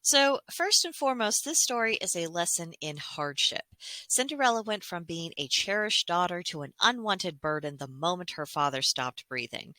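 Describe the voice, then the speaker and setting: A woman host giving reflective commentary in a measured, articulate and explanatory way. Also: lowish voice, bright, forceful tone, no echo. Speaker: host of a fairy tale commentary series.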